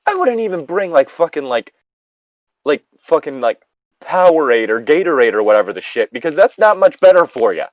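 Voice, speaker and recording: Male story-reading host, very lively intonation, exasperated cursing asides, dry and slightly dull recording. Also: fairly high pitch, a little fast, no room sound. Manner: sweary, animated, exasperated asides. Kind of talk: casual story reading with ranting commentary.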